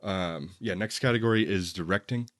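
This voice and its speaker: A man's voice: low voice, normal speed, no room sound, studio quality. A man co-hosting a film podcast, talking casually and explaining his opinions in a conversational way.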